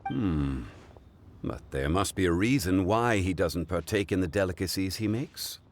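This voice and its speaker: Low, composed, erudite gentleman. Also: expressive. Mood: reflective. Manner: formal, measured, thoughtful. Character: composed, erudite, gentlemanly man of old-fashioned wisdom. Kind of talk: video game voice acting.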